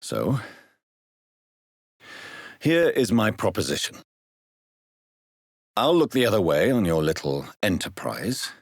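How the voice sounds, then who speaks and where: An actor playing a grave, refined British doctor turned vampire in a video game, speaking formally with measured, precise diction. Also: male voice, on the low side, very animated, dry recording, studio quality, full character voice. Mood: formal, questioning. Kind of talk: video game character dialogue.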